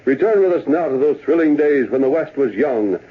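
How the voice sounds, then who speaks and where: An American actor as the stirring, solemn narrator of an old radio western, speaking in a stately, declamatory way. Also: male voice, medium pitch, lively intonation, fairly quick, slightly rough. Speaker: announcer and narrator. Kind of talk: dramatic scripted narration, 1930s-40s radio. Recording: tinny old radio recording with music.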